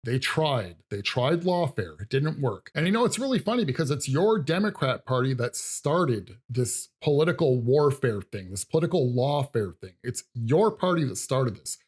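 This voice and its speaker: A man's voice: medium pitch, very animated, normal speed, hardly any pauses, a little mellow, husky. A man giving sarcastic, emphatic political commentary on video clips.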